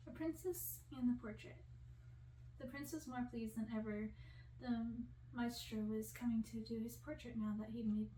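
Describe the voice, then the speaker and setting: A woman with a fairly high voice reads a storybook for an ASMR audience. The recording is strongly echoey and a little distant, with faint noise behind her. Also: warm tone. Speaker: audiobook-style reader for ASMR channel. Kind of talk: ASMR storybook reading, scripted narration.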